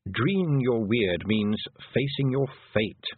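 A man voicing a knowing toad who murmurs measured explanations in a storybook reading. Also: medium pitch, lively intonation, husky, narrow, tinny audio. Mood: knowing, hushed.

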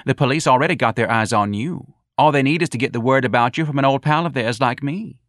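A man's voice: mid-range voice, fast, fairly bright tone, dry recording, studio quality, voice pushed up, natural voice. A man voicing a hard, shrewd, threatening female nightclub boss in an audiobook, scornful and commanding. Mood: cold menace. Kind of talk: audiobook character voice.

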